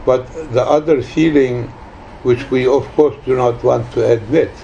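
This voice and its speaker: A very old man in his nineties gives an informal spiritual talk in a soft-edged, mellow voice. He speaks very slowly and haltingly, repeating phrases for emphasis. The recording is slightly muffled and lo-fi, with faint noise behind him. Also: mid-range voice, fairly even intonation, gravelly. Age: 90s.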